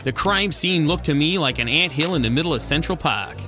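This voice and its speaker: A man's voice: fairly high pitch, lively intonation, a little fast, over music, lightly acted. A man playing a hard-boiled penguin private eye, narrating a noir radio drama in wisecracking slang. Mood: world-weary.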